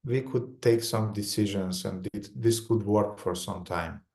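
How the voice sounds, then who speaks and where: Lecturer: man with a Romanian accent, low voice, halting short phrases, echoey room. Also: vocal fry, darkish tone. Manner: halting, pauses between short phrases for interpreter. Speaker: visiting lecturer. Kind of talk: lecture in English with consecutive translation.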